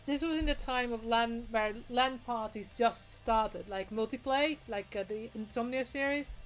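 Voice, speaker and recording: A woman with a Dutch accent, softened by years in England, talks to her livestream chat as she works. Her voice sits a little high, and she rambles warmly from thought to thought with plenty of restarts. Faint music plays underneath. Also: measured pace, poor audio. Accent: Dutch, with some British English. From Netherlands. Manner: chatty and rambling, many restarts, greets chat. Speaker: streamer. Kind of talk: casual livestream chat while sewing.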